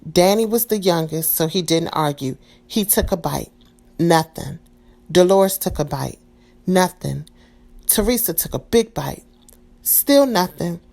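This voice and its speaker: A woman reading a children's storybook aloud in a calm, expressive way. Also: lowish voice, a little slow, gravelly. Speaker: storybook reader.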